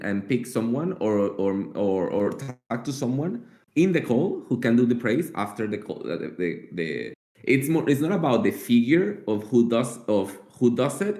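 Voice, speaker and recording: A man's voice: mid-range voice, darkish tone. A man talking casually in an online meeting, explaining his point as he thinks aloud. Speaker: working group participant. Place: online group call. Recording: echoey room sound.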